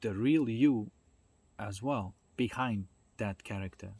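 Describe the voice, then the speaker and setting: A man giving an informal explanatory talk in a reflective, halting way, often checking in with small tag questions. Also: fairly low pitch, mellow, husky. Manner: reflective, halting delivery with checking tags like "right?" and "you know". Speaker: speaker giving a self-development talk.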